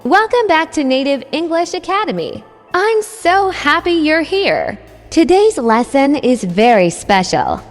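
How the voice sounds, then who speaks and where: A high-voiced woman leads a scripted beginner drill, slow and deliberate, saying each phrase twice. Her voice swoops widely up and down. A long reverb trails her words over faint music. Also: big pitch swings. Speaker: English teacher.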